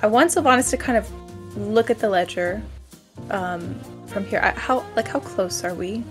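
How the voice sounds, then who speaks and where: A woman's voice: medium pitch, normal speed, soft background music. A woman casually narrating her character's actions as a player in a tabletop game.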